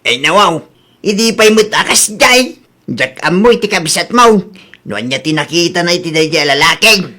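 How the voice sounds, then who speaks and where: Brief: a sharp-toned, scolding old dad, acted by a voice actor for radio drama. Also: mid-range voice, lively intonation, normal speed. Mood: grumbling. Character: older father, grumbling about his family.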